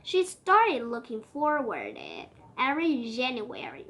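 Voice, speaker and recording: A high, youthful voice reading a picture book aloud expressively, with playful exclamations and chatty asides. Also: huge pitch swings, unhurried, fairly bright tone. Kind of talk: animated read-aloud storytelling with chatty asides.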